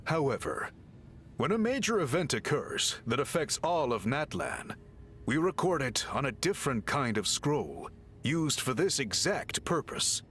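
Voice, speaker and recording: Calm serious tribe chief in a game, formal and patient, voice moves up and down a lot. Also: male voice, mid-range voice. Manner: formal, measured, explaining patiently. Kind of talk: game voice acting.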